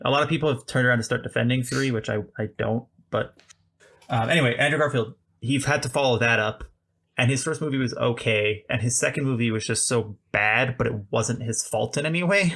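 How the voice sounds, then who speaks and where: A man with a gravelly, creaky voice talks about movies in a loose, rambling way, circling back and restarting his thoughts. He sounds like a podcast host in casual conversation, at an ordinary middle pitch. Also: a bit bright. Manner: chatty, rambling, frequent "like" and restarts. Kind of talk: casual film discussion.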